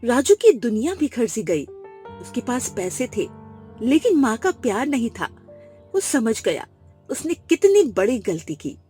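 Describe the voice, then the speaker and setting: Very expressive female story narrator. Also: fairly high pitch, a little slow, soft background music. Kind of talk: scripted moral story narration.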